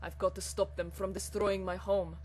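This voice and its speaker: A big, boisterous Russian gunner played by a woman from a comic fan story. The speech is loud, slow and declamatory, with a Russian accent. There is a faint hum behind it. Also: medium pitch, level tone, natural voice. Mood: heroic.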